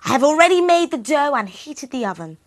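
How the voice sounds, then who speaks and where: A woman's voice: very animated, a little fast. A woman putting on a screeching wicked old witch. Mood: sly. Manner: screeching, shrill. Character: wicked old half-blind witch, cackling and cruel.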